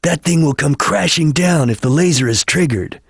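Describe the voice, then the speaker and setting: A man voicing a serious, disciplined chameleon ninja in a video game, speaking in a husky, clipped and measured way as he gives instructions. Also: on the high side, dry recording, studio quality. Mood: focused, serious. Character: serious, disciplined male chameleon ninja detective, calm and watchful. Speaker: video game character giving hints.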